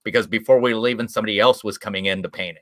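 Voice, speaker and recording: A Midwestern American man chats on a live stream in a bright, forceful voice. He rambles through stories and restarts often. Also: fairly low pitch, steady tone, very fast. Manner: rambling, chatty storytelling with frequent restarts and chuckles. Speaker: guest YouTube creator. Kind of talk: casual chat on a live stream.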